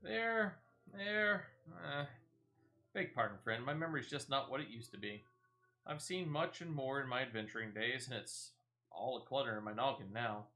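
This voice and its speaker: A man's voice: mid-range voice, animated, normal speed, bright, forceful tone. A man voicing a forgetful, cheerful old male ex-adventurer in a fantasy game, rambling and losing his train of thought. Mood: chatty. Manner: rambling, trailing off mid-sentence.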